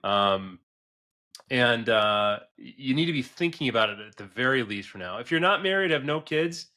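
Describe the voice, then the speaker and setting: A man's voice: medium pitch, pushed and bright. A man of forty hosting a business advice podcast in a conversational, earnest and emphatic way, often checking in with "right?". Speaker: podcast host, business advisor.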